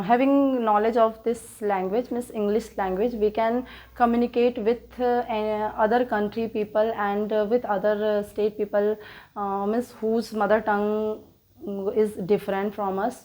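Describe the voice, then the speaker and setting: Indian woman speaking halting English. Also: mid-range voice, mellow. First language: Hindi. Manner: slow, halting learner's English with many fillers and restarts. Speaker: English-learning channel host. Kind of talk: casual motivational talk to viewers.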